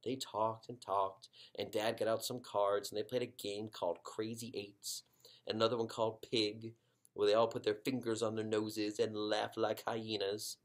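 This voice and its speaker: A man narrating a children's book expressively as a story reader, with dramatic pauses and drawn-out emphasis. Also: fairly low pitch, flat delivery.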